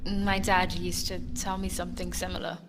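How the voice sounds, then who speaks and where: A woman's voice: on the low side, level tone, moderate pace, noisy background, close to an everyday voice. An actress playing a curious, enigmatic young woman of the forest, terse and direct, in an audio drama. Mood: curious, guarded.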